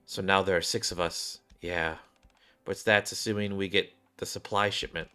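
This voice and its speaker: Man reading a grim, low-voiced woman soldier. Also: fairly even intonation, moderate pace, bright, forceful tone, clean tone, faint music behind. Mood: grave. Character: grim, weary woman squad soldier in a sci-fi game.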